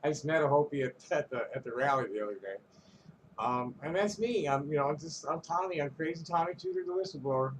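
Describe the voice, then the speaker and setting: A man's voice: fairly high pitch, fast, slight background noise, poor audio. A man ranting to camera in a casual vlog, rambling and profane, stumbling over restarts and chuckling between phrases. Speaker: vlogger.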